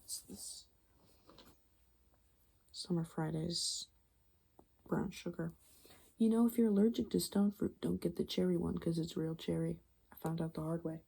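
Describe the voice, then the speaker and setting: A woman host speaking in a soft-spoken ASMR style that slips into whispers, rambling and confiding in a conversational way. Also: lowish voice, vocal fry, a little hiss or hum. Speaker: ASMR video creator and host.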